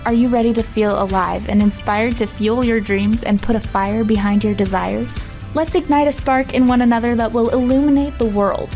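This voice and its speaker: A woman's voice: fairly high pitch, normal speed, a little mellow. A woman reading the scripted intro to a show in a polished, inspirational way. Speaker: show announcer. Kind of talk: scripted promo voice-over. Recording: voice-over with music behind.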